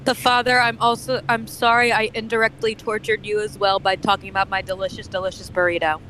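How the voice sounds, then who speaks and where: A man's voice: sits high, level tone, fairly quick, sharp tone, slight background noise, poor audio. A man chatting in a casual, teasing way among friends, with some chatter behind him.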